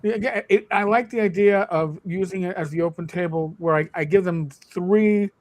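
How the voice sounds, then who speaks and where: High-voiced man chatting casually. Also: moderate pace. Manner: rambling, frequent restarts and laughs. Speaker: game master and channel host. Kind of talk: casual podcast chat.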